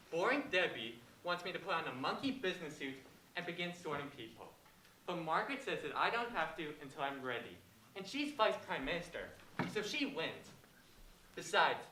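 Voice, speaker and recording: A performer voicing a young boy who misses his dad, with a soft, whiny childlike voice and a plaintive, sulky way of talking. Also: mid-range voice, slight background noise. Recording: roomy echo, distant mic.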